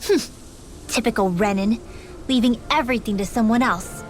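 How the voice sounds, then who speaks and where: A woman playing a sharp-tongued, distrustful young girl in a video game, blunt and snippy. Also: fairly high pitch, moderate pace, close to an everyday voice. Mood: teasing, scornful. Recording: music underneath. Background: background music.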